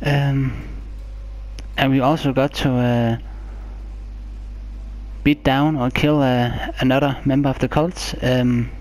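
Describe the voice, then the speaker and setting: A person giving casual let's play commentary as a gaming channel host, in a halting, hesitant way. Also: medium pitch, little pitch movement, a little mellow.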